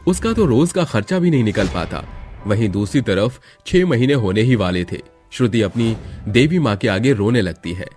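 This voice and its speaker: A man's voice: lowish voice, expressive, moderate pace, darkish tone, faint music behind. A man narrating a scripted moral story as storyteller.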